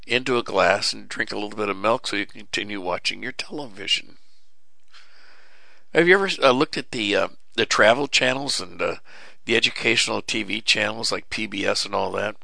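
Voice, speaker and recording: Low gravelly man talking to listeners. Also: fairly quick, bright, forceful tone, no echo. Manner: folksy, preachy, many fillers and restarts. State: voice starting to give way. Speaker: radio show host. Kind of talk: rambling monologue to listeners. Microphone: studio mic with cough button.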